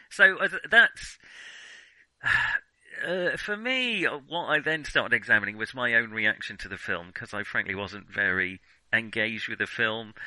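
A man's voice: mid-range voice, bright, forceful tone, no echo, low-quality recording. A man talking through film criticism off the cuff, reflective and hesitant, often qualifying what he says. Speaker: film discussion podcast host. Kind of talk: unscripted film criticism discussion.

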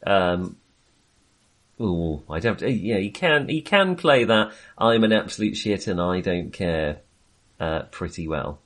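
A man's voice: low voice, expressive, measured pace. A man co-hosting a film podcast, chatting casually and tentatively, often putting things as questions.

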